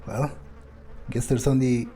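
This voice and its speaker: Slow, mellow man musing aloud. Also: medium pitch, level tone, a little hiss or hum. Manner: hesitant musing, dry understatement, casual swearing. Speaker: video game player and host. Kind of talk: in-character game commentary, thinking aloud.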